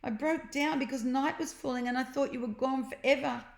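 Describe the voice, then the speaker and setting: A woman's voice: sits high, fairly quick, gravelly, voice pushed up. A woman voicing a bossy but tender young girl, a storybook big sister, with emotional, emphatic delivery. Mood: earnest. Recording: echoey, roomy home recording.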